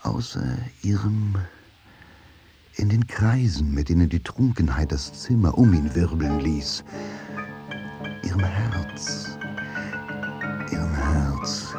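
Slow, deep-voiced male drama narrator. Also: expressive, over music. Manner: measured, brooding, intense. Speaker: narrator of a radio play.

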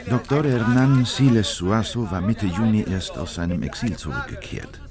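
An actor playing a grave Bolivian insider, narrating formally and with measure in a radio documentary drama. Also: male voice, on the low side, lively intonation, normal speed, a crowd in the background, natural voice. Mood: grave, measured. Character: Bolivian male political insider recounting Barbie's capture, grave, measured. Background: music.

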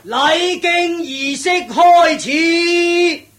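Loyal ceremonious steward in a radio play, hong kong cantonese accent, very deferential. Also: male voice, very high voice, extremely slow, a bit bright, exaggerated voice. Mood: solemn proclaiming. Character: dutiful, deferential male household steward and ceremony announcer. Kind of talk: radio drama acting.

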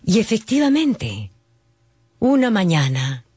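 A man's voice: high-pitched, wildly swooping pitch, takes it slowly, darkish tone, husky, dry recording, clean studio sound. A man narrating a scripted radio drama as a storyteller.

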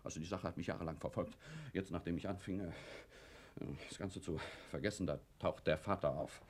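An actor playing a nervous, blustering, middle-aged factory owner and former lieutenant with a guilty past in a German radio play. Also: male voice, low-pitched, steady tone, a little fast, breathy edge. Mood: defensive, brooding.

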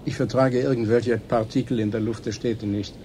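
An actor playing a curt, touchy station worker who is weary of chatter, in a German radio drama. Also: male voice, medium pitch, fairly even intonation, normal speed, dark tone, slight background noise, close to an everyday voice. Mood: irritated. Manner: terse, irritable, dry.

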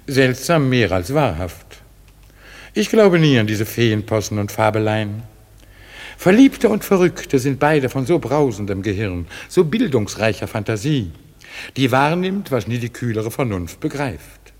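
A skeptical stately duke. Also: male voice, mid-range voice, sing-song, a little mellow, in a large hall. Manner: measured, declamatory verse delivery. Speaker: Theseus. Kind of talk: classic radio play acting.

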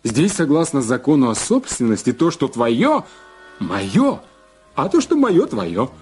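Sleazy blackmailer husband, mocking, wheedling drawl, lively swooping pitch, music underneath, audio drama. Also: male voice, unhurried, husky, exaggerated voice. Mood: wheedling, greedy. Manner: wheedling, drawling, repetitive, gloating chuckles.

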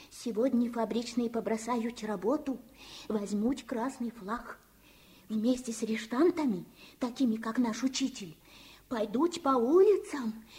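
An actress playing an emotional, fretful servant nanny in a radio play, folksy and lamenting. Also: female voice, a little high, a bit bright. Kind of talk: Soviet radio play acting.

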